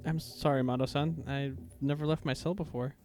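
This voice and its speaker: A man's voice: mid-range voice, steady tone, normal speed, darkish tone, soft background music, natural voice. A man playing a shy, polite half-ghoul boy held prisoner, hesitant and apologetic, in an anime fan fiction drama.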